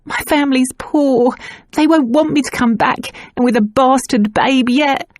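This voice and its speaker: Lady doing a weepy sick young maid, high and whimpery. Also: fairly even intonation, extremely slow, vocal fry, slightly rough, dry recording, studio quality, exaggerated voice. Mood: pleading, tearful.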